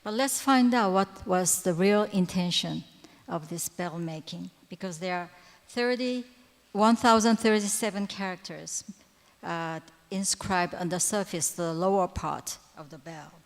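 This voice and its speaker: A woman giving a lecture at a conference, pausing often with fillers and correcting herself. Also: fairly low pitch. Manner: hesitant, frequent fillers and self-corrections. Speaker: conference speaker. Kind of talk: lecture presentation. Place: large conference hall. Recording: reverberant hall sound.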